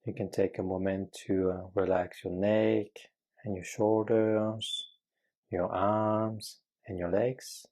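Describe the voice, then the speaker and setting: A man guiding a meditation with calm, gentle, measured instruction and frequent pauses between phrases. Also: on the low side. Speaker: meditation guide.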